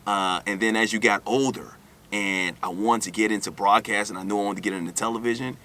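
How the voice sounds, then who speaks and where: A man's voice: on the low side, fairly even intonation, fast, pushed and bright. A man from the United States, raised in Alabama, speaking candidly and conversationally as an interview guest, often repeating and circling back over his phrases. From United States, born in New York, raised in Alabama. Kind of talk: candid personal storytelling in an interview.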